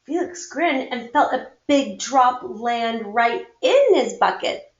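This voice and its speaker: A woman's voice: on the high side, lively intonation. A woman reading a children's picture book aloud to schoolchildren, acting out the dialogue as she goes. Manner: acts out dialogue, pauses to comment to listeners. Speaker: read-aloud storyteller for schoolchildren. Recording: muffled, echoey room recording.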